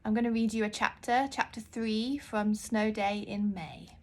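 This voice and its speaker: A woman author reading her children's book aloud in an expressive storytelling style. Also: medium pitch, a little slow.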